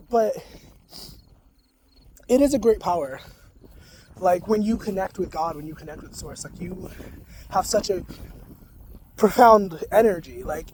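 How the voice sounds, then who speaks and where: A young man talking casually to camera in a soft-spoken, rambling way, full of "like". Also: high voice, background noise. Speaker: spiritual vlogger.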